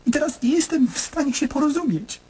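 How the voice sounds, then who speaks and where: An actor in a radio play voicing an anguished, self-questioning middle-aged man, brooding and intense, speaking in halting monologues. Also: extremely high pitch, animated, dark tone. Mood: agitated, bitter.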